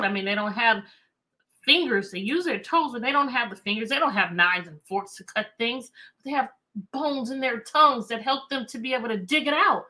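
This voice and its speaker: A middle-aged American woman reading aloud to children as a teacher, animated and chatty, often asking questions. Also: on the high side. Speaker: teacher and read-aloud host. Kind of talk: interactive children's read-aloud lesson. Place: indoor room with bookshelves.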